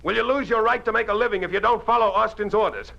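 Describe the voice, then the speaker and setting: An actor playing a principled, outspoken newspaper editor in a 1950s radio drama, with an emphatic, oratorical American delivery. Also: male voice, high-pitched, quick pace, vocal fry, sharp tone, full character voice. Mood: pressing, indignant. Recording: dull lo-fi 1950s radio recording.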